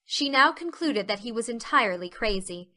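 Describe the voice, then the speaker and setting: A woman's voice: fairly high pitch, animated, moderate pace, pushed and bright. A woman narrating an audiobook story as a scripted dramatic reading.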